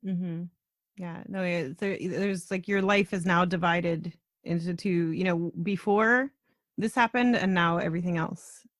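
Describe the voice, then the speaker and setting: A woman's voice: lowish voice, steady tone, unhurried, dry recording, studio quality. A woman hosting a podcast, speaking in a reflective, conversational way with frequent fillers. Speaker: podcast host.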